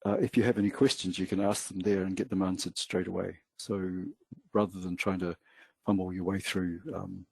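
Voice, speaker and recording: A New Zealand man with a low, husky voice talks loosely through a trading webinar, pausing often with fillers. His voice is close and dry, with no room around it. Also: dry recording. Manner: relaxed, hesitant, many fillers. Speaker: webinar co-host. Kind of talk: casual webinar talk.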